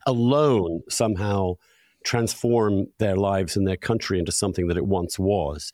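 A man's voice: deep voice, normal speed, a little mellow, no room sound, studio quality. A middle-aged man hosting a podcast discussion, speaking in an emphatic, opinionated, conversational way. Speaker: podcast host.

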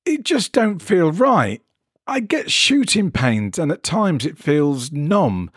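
An anxious, plaintive everyman from an audio story as a man acts him out. His pitch rises high and swings about as he complains in plain colloquial speech. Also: sing-song, takes it slowly, fairly bright tone, slightly rough, no echo, studio quality, exaggerated voice. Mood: uneasy, complaining. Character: anxious, complaining man troubled by a rogue arm.

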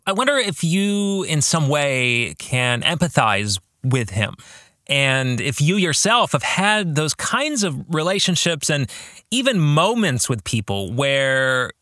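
Bright-voiced man, expressive and measured. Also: fairly high pitch, no echo, clean studio sound. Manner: earnest, emphatic, deliberate pauses. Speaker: podcast host and pastor. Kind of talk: reflective book discussion with read-out passages.

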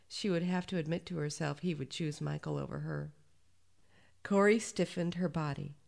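A woman's voice: low voice, gravelly, a little mellow. A woman reading a short story aloud as scripted narration.

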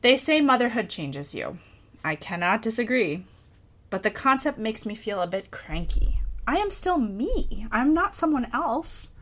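A woman's voice: a little high, sing-song, a little slow, a bit bright. A woman reading her own personal essay aloud for a podcast, reflective and candid, with a lightly self-amused, conversational touch. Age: adult parent of a young child. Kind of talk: personal essay read aloud with casual asides.

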